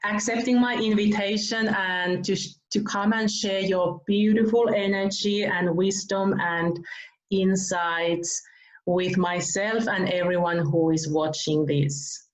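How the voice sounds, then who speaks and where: A woman from Finland hosting an online interview, gushing with praise and laughing softly as she talks. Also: mid-range voice, steady tone, slow pace. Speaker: interview host. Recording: roomy, dull video call audio.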